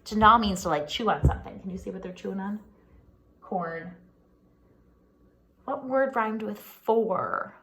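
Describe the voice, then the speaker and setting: A woman reading a picture book aloud for young children in an expressive, singsong way, pausing to ask questions like a pre-K teacher. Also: mid-range voice, poor audio.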